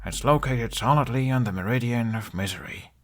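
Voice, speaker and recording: A man's voice: medium pitch, fairly quick, lightly acted. A man voicing an awkward, earnest young male misfit who tells stories with wry humour, in an audiobook. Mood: wry storytelling. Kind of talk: audiobook character voice.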